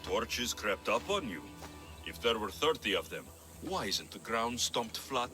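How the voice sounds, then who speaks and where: A man's voice: lowish voice, very animated, measured pace, music in the background. A man playing a blunt, resolute mercenary hero in a video game, firm and questioning. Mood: probing.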